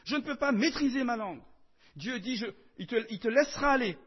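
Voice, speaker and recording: A man preaching a sermon in French, emphatic, swinging between loud declarations and soft asides. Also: very high voice, lively intonation, fairly quick, husky, highs cut off.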